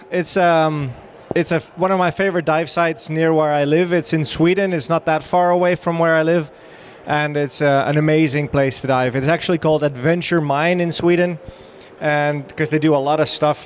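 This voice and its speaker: A man's voice: on the high side, steady tone, moderate pace, slight background noise. A middle-aged man from the Netherlands with a Dutch accent, giving a chatty, upbeat talk to a live audience on a trade-show floor, with many fillers and restarts.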